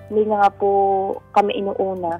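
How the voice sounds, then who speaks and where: A woman's voice: medium pitch, level tone, fairly quick, mellow, husky. A Filipino woman calling a radio advice show, telling her personal story conversationally in a polite, deferential way. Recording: dull lo-fi call audio over music.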